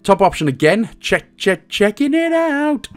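Lively Welsh man, higher-pitched voice. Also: a little slow, faint music behind. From Wales. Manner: jokey, cheeky asides. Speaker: video game guide host. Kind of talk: casual walkthrough commentary.

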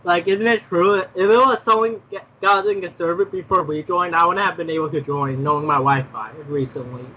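A man's voice: high-pitched, brisk, hardly any pauses, sharp tone, poor audio. A young man chatting casually during an online game, often repeating himself and exclaiming. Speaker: gamer.

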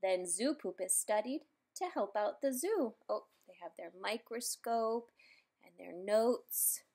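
A woman reading a children's picture book aloud, adding playful, expressive asides for young listeners. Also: medium pitch, measured pace. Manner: playful, expressive, simple child-directed delivery with exclamations. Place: indoors in a room at home.

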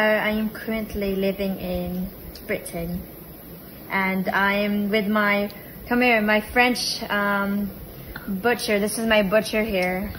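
A young woman chatting casually and playfully to a phone camera in a living room, joking as she introduces herself. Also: mid-range voice. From Philippines-born, grew up in America. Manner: joking, playful put-on accents. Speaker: guest niece introducing herself on her aunt's vlog. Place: living room indoors, on a sofa. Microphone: phone a short distance away. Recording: clearly noisy home phone recording.

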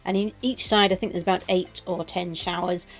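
Chatty woman, lowish voice, faint music. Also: fairly even intonation, rough recording. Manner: chatty and practical, with many "uh" pauses. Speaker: vlogger. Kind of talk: casual vlog narration. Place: campsite shower block, indoors.

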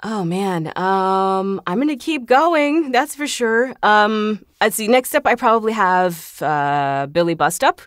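A woman's voice: mid-range voice, lively intonation, slow pace, hardly any pauses, no echo, clean studio sound. A woman voice actor speaking casually as a podcast guest, in an enthusiastic, effusive, giggly way. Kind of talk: casual interview chat.

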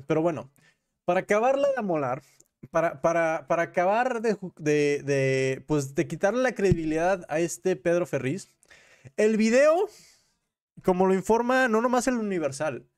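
A young Mexican man giving casual livestream commentary in an informal, slangy, rambling way, correcting himself as he goes, close on a desk mic at home. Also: high voice. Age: young adult. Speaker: commentary streamer and host. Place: small room at home. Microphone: close desk microphone on a boom arm.

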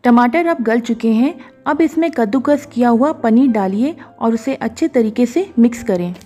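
A woman calmly narrating a cooking recipe step by step as a voice-over, slipping English words into her sentences. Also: fairly high pitch, moderate pace. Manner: calm, instructive, mixes English words into Hindi. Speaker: cooking show host. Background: soft background music.

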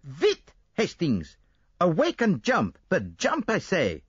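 A man voicing an older, fussy and excitable Belgian detective with a pompous, theatrical manner. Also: medium pitch, normal speed, slightly rough, dry recording, dull audio, pitched above the performer's own voice. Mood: agitated.

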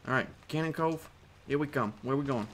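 A man with a put-on British accent, giving casual, excitable commentary over his own gameplay and swearing as he reacts. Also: medium pitch, fairly quick, slight background noise. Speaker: gaming channel host.

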